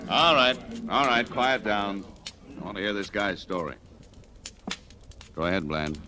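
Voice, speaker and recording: An actor playing a gruff, curt, commanding police lieutenant in a 1940s radio drama. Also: male voice, fairly low pitch, a little slow, natural voice. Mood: curt, insistent. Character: gruff, insistent police lieutenant hearing a suspect's story. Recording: noisy vintage radio recording, clicks.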